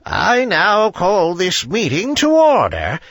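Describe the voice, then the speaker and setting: A man's voice: sits high, huge pitch swings, slow, fairly bright tone, dry recording, pitched above the performer's own voice, heavily acted. A man voicing a wise, impatient old owl in a children's story, speaking with hooting authority and emphasis. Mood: commanding. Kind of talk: children's storybook character reading.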